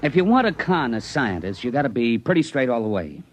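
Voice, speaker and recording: An actor playing a cocky American army private with a sardonic, slangy manner in 1950s radio drama style. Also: male voice, mid-range voice, very animated, brisk, dry recording. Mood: eager, explaining. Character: cocky wisecracking army private, science-fiction writer turned schemer. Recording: muffled 1950s radio recording, faint noise.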